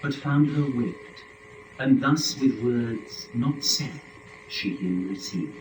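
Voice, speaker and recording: A man's mid-pitched voice narrates epic poetry in a roomy, echoing space. He is solemn and declamatory, taking the lines very slowly in a measured dramatic reading. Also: animated, a little mellow. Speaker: verse narrator. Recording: echoey room, faint noise.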